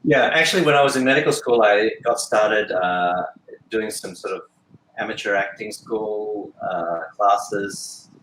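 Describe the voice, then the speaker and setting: A man, answering interview questions thoughtfully with frequent pauses and restarts. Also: on the low side, fairly bright tone, echoey room, slight background noise, poor audio. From Australia. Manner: thoughtful, hesitant, many fillers and restarts. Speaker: guest actor and filmmaker.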